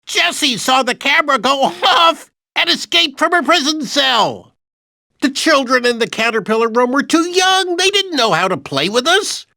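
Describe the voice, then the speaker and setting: A man's voice: very high-pitched, animated, normal speed, creaky, pushed and bright, no room sound, studio quality, full character voice. A man voicing an anxious, childlike toy dinosaur in a video game, earnestly retelling adventures with dramatic emphasis. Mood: earnest, excitable. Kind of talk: animated video game storytelling narration.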